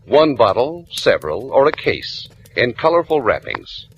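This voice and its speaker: Old timey radio ad guy, polished and emphatic, very animated pitch, slight hiss. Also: unhurried, highs cut off. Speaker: sponsor announcer. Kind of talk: 1940s radio advertisement read. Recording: vintage radio recording, faint noise.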